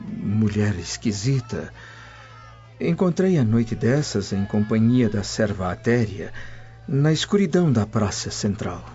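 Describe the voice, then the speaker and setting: An actor playing an insinuating, gossiping former servant of ancient Rome in a radio drama. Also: male voice, lowish voice, sing-song, unhurried, warm tone. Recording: dull lo-fi radio drama audio. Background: music.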